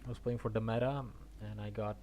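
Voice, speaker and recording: A man from Argentina chatting casually on a game stream, haltingly thinking aloud and repeating words. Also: fairly low pitch, steady tone, darkish tone, a little hiss or hum. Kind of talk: casual rambling stream chat about games.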